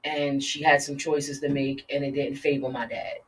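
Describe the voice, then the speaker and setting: A woman guest telling a personal story in an interview, earnest and halting with frequent restarts. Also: extremely low pitch, flat delivery, recorded from a distance, slight background noise.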